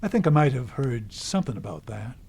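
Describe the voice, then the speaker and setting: A man's voice: medium pitch, sing-song, brisk, darkish tone, husky. An actor playing a cranky, world-weary old man in the woods, with a folksy, grumbling manner, in a radio drama. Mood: guarded, terse.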